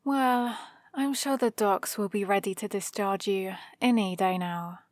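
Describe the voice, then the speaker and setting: Slow-talking sardonic British villainess, acted by a woman. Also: medium pitch, steady tone, dry recording, natural voice. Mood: exasperated. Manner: dry, teasing, sarcastic. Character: sardonic female supervillain grudgingly caring for her injured hero rival. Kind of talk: ASMR roleplay, close and unhurried.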